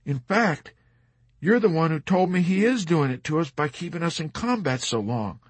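A man's voice: a little high, normal speed, a bit bright, slightly rough, no echo, pitched above the performer's own voice. A man voicing a young male pilot in an audiobook, eagerly persuasive and scheming. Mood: arguing. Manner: persuasive, arguing.

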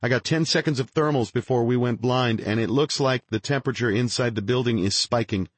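Man acting an anxious military tech, raised pitch. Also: a little fast, slightly rough, dry recording, close to an everyday voice. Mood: tense reporting. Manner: urgent, clipped technical reporting. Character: anxious male military communications technician reporting trouble.

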